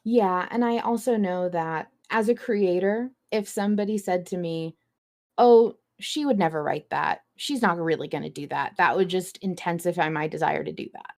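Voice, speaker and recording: A woman with a fairly low voice co-hosts a podcast. She talks in a casual, conversational way, as in a fan discussion of fiction. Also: normal speed, gravelly. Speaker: podcast co-host.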